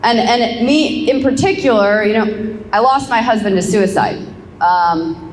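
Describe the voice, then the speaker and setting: A woman's voice: fairly high pitch, a little slow. A woman, a mayor, giving a conversational, self-deprecating ceremony speech to an audience, on a handheld microphone in a large hall. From Illinois, USA. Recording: echoey hall, long reverb, faint noise.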